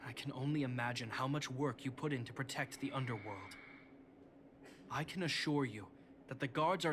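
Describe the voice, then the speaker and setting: A man's voice: mid-range voice, fairly bright tone, close to an everyday voice. Male actor for dutiful young captain in a game; medium register, diplomatic and composed, noticeable noise behind. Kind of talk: scripted video game voice acting.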